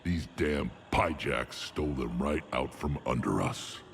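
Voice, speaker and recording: An actor playing a gruff, proud, battle-hardened alien warlord in a video game, with a gravelly rumble and blunt, dry humor. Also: male voice, expressive, a little slow, exaggerated voice. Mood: gruff, resolute. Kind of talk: video game cutscene acting.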